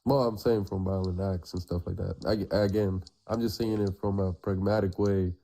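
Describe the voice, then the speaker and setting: A man's voice: sits low, steady tone, normal speed, creaky, mellow. A man in his twenties, a non-native English speaker, arguing tentatively as a debate guest. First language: not English. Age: 20s. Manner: tentative, hedging.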